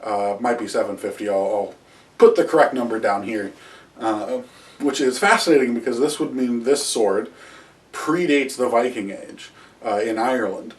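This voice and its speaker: Man lecturing with many filler pauses. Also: mid-range voice, distant mic. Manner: halting, many "uh" fillers and restarts, gestures with both hands. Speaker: history video host. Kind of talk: unscripted lecture to camera. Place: small room at home with wall hangings and shields. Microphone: camera a few feet away.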